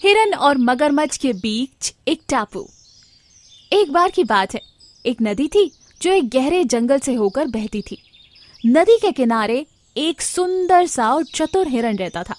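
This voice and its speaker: A woman with a somewhat high voice narrates an animal story for children. She reads it as a scripted storyteller.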